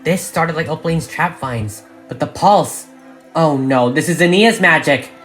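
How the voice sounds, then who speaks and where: Earnest high-voiced young mare leader , put on by a narrator. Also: a little slow, a bit bright, faint music behind, close to an everyday voice. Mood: grave. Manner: earnest, rallying, emphatic. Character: brave, earnest young female pony leader.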